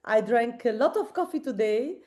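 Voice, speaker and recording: A woman's voice: a little high, animated, normal speed, warm tone. A tired woman from Lebanon with a Lebanese accent, a guest on a late-night video call, speaking earnestly and reflectively with many fillers. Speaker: guest, creative director.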